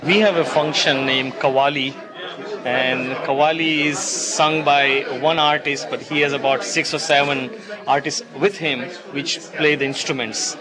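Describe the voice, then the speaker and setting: A man's voice: fairly high pitch, fairly even intonation, a little slow, crowd noise. A man hosting a gathering at home, explaining it in a plain, careful way. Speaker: party host. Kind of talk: casual explanation. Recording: loud music behind voice. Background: loud music.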